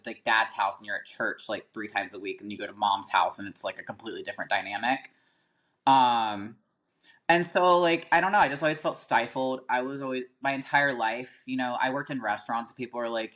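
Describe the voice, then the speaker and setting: A man's voice: lowish voice, fairly bright tone. Chatty man, lots of fillers. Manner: chatty, filler-heavy, frequent "like" and "um". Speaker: podcast guest, rapper. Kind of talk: casual interview storytelling.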